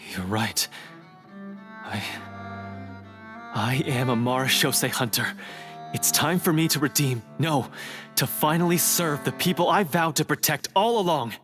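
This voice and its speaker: A man's voice: on the high side, animated, measured pace, pauses often. An actor playing a guilt-ridden, self-doubting young male hunter in a video game, with an earnest, hesitant delivery. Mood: resolute. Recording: music clearly behind the voice.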